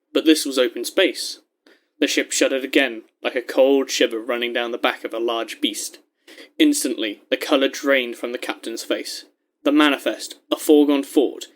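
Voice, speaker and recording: Measured male story narrator, mid-pitched.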